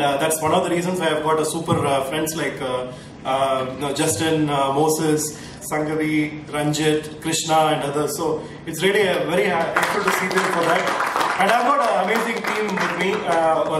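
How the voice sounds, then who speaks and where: A man gives a hesitant speech at a launch event, stumbling through fillers and restarts. His voice sits a little high. The mic is distant, the big hall echoes heavily, and a faint hum sits underneath. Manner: halting, many fillers and restarts. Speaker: team owner at a launch event.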